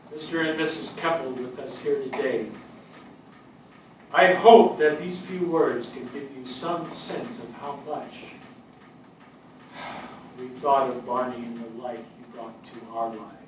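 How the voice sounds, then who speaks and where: An older man acting an earnest fire captain in a stage reading, delivering a measured eulogy for a fallen friend. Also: a little high, lightly acted. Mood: solemn. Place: indoor theatre stage. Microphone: distant camera. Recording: echoey stage, distant mic, faint noise.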